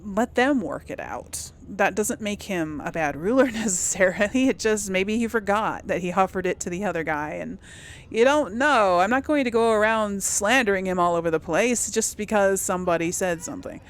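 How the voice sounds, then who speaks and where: A woman's voice: mid-range voice, normal speed. Casual woman musing through a game. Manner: musing aloud, conversational. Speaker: gaming channel host. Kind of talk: casual game role-play commentary.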